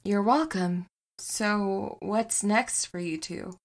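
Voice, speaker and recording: A woman playing a warm, wry, loyal partner in a naturalistic comedy audio drama, teasing with a deadpan touch. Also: medium pitch, takes it slowly. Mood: wry fondness.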